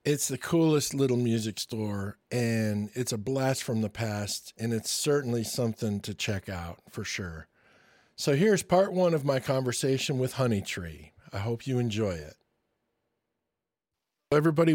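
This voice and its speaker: A man's voice: mid-range voice, a little mellow, no echo, clean studio sound. A man in his sixties hosting a podcast, telling stories in a chatty, enthusiastic way and interviewing a guest. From Michigan, US. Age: 60s. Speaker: podcast host. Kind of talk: casual podcast monologue and interview.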